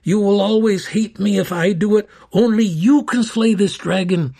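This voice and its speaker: A man's voice: high-pitched, moderate pace, creaky, mellow, pitched above the performer's own voice. A man voicing an old caretaker in a storybook reading, speaking in a solemn, firm and urging way.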